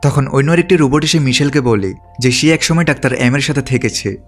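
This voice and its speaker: A man's voice: medium pitch, fairly quick. A man reading scripted narration that retells a film's story as a recap narrator. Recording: background music under the voice. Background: music.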